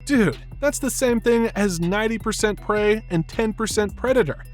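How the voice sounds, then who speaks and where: A man's voice: sits high, normal speed, faint music behind. A man narrating a scripted film analysis in an emphatic, opinionated manner. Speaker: video essay narrator.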